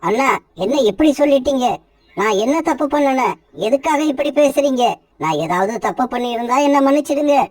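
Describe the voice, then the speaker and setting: A woman plays a gentle little brother bird in a cartoon, begging and wounded. The voice sits high and sounds dry and set back from the mic. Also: expressive, a little fast, no room sound, recorded from a distance. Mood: pleading. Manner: pleading, hurt.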